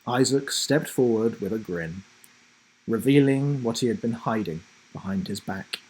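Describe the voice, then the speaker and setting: A man reading a children's story aloud in a dramatic, deliberate narrating style. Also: on the low side, a little mellow, husky, low-quality recording. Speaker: storybook reader, host.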